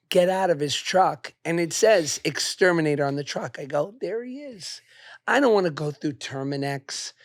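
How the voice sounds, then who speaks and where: A man in his thirties hosting a podcast in casual chat, slightly hoarse, playful and teasing, laughing often and telling animated stories. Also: high-pitched, no room sound, studio quality. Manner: tells anecdotes in an animated way. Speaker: podcast host.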